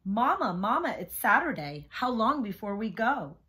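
Lady doing a grumbly little kid from a storybook, dramatic and whiny, bit husky. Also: fairly high pitch, big pitch swings, normal speed, sharp tone, heavily acted. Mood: impatient. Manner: complaining, dramatic. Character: young girl, impatient, whiny, grumbling about chores. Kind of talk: picture-book character reading.

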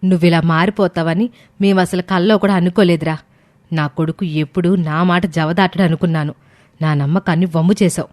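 A woman's voice: on the low side, steady tone, a little fast, a little mellow, natural voice. Woman voicing an indignant, scolding older mother. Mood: hurt. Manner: scolding, plaintive.

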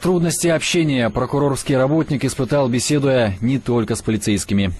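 A man narrating a scripted TV news report as the field reporter, measured and slightly ironic. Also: medium pitch, darkish tone, breathy edge.

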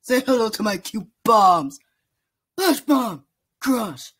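A voice actor playing a manic male robot who loves bombs, shouting gleefully between cackles in a game character reel. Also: medium pitch, lively intonation, takes it slowly, breathy edge, pitched above the performer's own voice, full character voice. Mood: manic glee.

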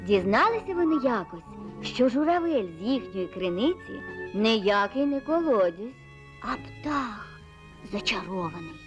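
A woman narrating an animated fairy tale in storybook style, on an old film soundtrack. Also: medium pitch, muffled recording.

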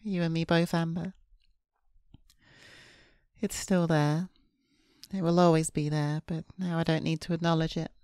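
Low, even-voiced woman reading aloud. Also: unhurried, long pauses, smooth, no echo, clean studio sound. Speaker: VTuber streamer. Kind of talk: reading visual novel narration aloud. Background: faint game music.

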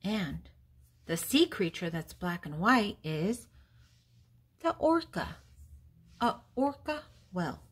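Slow, husky older woman reading to children. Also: on the low side, big pitch swings. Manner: deliberate, teacherly, pausing between words. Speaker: host reading a children's picture book aloud. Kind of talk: read-aloud for young children, with questions and answers.

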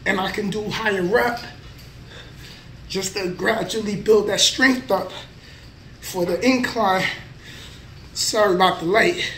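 A man's voice: high voice, slow, long pauses, fairly bright tone, recorded from a distance, slight background noise. A man in his thirties giving a casual, motivational workout vlog between sets, filmed on a camera mic a few feet away in a small indoor gym. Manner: motivational, plain-spoken, talks between sets. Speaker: vlogger, trucker. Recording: echoey room, voice a bit off mic.

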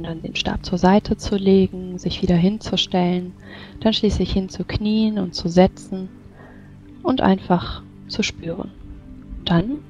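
A woman player narrates a tabletop roleplaying scene. Her voice is mellow and creaky, and the slightly dull recording carries soft music underneath. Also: mid-range voice. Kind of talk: narrating her character's actions. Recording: slightly dull audio, faint music behind. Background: faint music.

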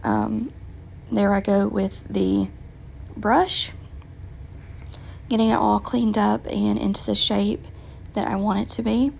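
A woman with a raspy voice from a recent illness, patiently explaining her nail work step by step with frequent ums. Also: medium pitch, fairly even intonation, slow, long pauses, a little mellow, slight background noise. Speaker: nail tutorial vlogger. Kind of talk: casual step-by-step nail tutorial voice-over.

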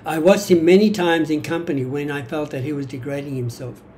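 Older Australian-accented man, mellow voice. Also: a little high, fairly even intonation, fairly quick, slight background noise. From Greek-born, grew up in Australia. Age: 70s. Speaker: vlogger sharing personal history. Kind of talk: reflective monologue from notes. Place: small indoor room at home.

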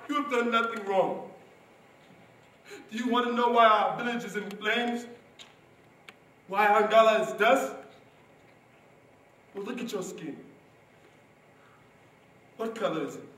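A man's voice: high voice, measured pace, long pauses. A young man performing a dramatic stage monologue as an anguished, protective seventeen-year-old Darfuri refugee, impassioned and pleading. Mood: sombre, bitter. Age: young adult. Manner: impassioned, pleading, swinging between cries and hushed grief. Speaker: student performer. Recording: roomy, distant stage recording, faint noise.

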